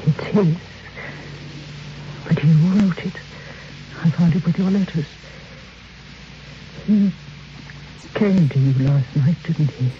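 An actress playing a betrayed woman in an old radio drama, intense and grief-stricken, speaking in short halting phrases. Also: on the low side. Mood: cold, accusing. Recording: muffled, lightly hissy old radio recording.

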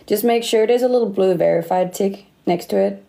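A woman's voice: medium pitch, brisk, gravelly, dark tone. A Danish woman in her late twenties with a slight Australian accent, chatting casually on a livestream from her phone and laughing often. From Denmark. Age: late 20s. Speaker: livestream host. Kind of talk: casual livestream chat reading comments. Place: indoor room. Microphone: phone close to face.

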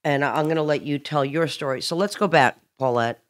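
A woman's voice: low-pitched, fairly quick. A woman co-hosting a podcast, who laughs often and encourages her guest. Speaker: podcast co-host.

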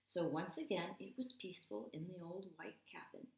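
A woman in her thirties, an English teacher reading aloud to young children, dramatic and deliberate, with hushed stretches. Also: on the low side. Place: small room at home, indoors. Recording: roomy indoor echo.

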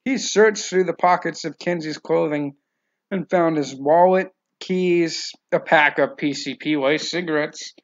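A man's voice: a little high, measured pace. A man giving scripted narration of a novel, in a slightly dull, lo-fi recording.

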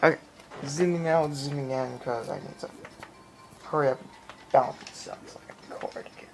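A man's voice: mid-range voice, fairly even intonation, brisk, gravelly, low-quality recording. A man giving casual, off-the-cuff commentary as he plays a video game, muttering reactions and apologetic asides. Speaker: gaming video maker, player. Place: small room at home, filming a TV screen. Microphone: handheld camera or phone mic. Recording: camera mic in room, game music. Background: video game music.

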